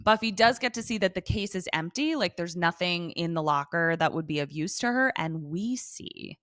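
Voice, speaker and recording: A woman's voice: lowish voice, lively intonation, normal speed, a bit bright, dry recording. A woman co-hosting a casual TV recap chat, talking in slangy, sweary, informal language. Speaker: podcast co-host.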